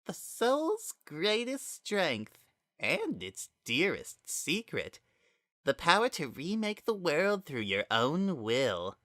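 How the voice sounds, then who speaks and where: A narrator voicing a menacing, fervent child spirit that wears a monster's skin, speaking in a slow, theatrical, hollow, coaxing monologue for a dramatic story reading. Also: medium pitch, fairly bright tone, no room sound, clean studio sound, pitched above the performer's own voice, heavily acted. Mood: grave, fervent. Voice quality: maddened, hollow tone. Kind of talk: dramatic reading of fan fiction.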